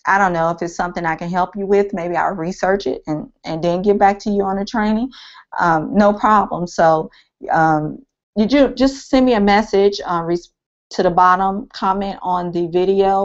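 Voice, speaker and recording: Woman trainer, low-ish voice, going hoarse, rambling screen-share delivery, dull lo-fi computer-mic sound. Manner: rambling, thinking aloud with many ums. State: losing her voice, a bit hoarse. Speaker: online marketing trainer. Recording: dull lo-fi screen-capture audio.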